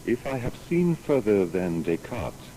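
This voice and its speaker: An actor playing a modest, reflective older scientist speaking in measured words in an audio biography. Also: male voice, lowish voice, big pitch swings, dark tone, a little hiss or hum, low-quality recording. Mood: humble, reflective. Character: Isaac Newton, modest, reflective older scientist, quoting himself. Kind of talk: dramatised biography reading.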